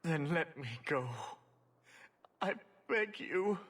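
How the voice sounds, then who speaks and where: Cowardly slaver goon in a game, begging, high breathy rasp, slow and halting. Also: male voice, expressive, fairly bright tone, exaggerated voice. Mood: terrified. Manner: halting, whimpering, pleading. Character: cowardly male slaver's henchman begging for life. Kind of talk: video game voice acting.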